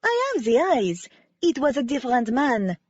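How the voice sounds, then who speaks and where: A woman voicing a petite female ballerina who speaks in formal, halting English with stiff, careful phrasing, in an audiobook. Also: high-pitched, huge pitch swings, a little mellow, no room sound, dull audio, pitched above the performer's own voice, heavily acted. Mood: earnest.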